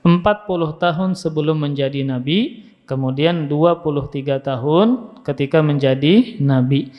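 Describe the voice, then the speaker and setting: Male preacher in a reverberant hall. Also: on the high side. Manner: didactic, repeats key phrases for emphasis. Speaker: Islamic preacher and teacher. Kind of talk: religious lecture with Arabic quotations. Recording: muffled, long hall reverb.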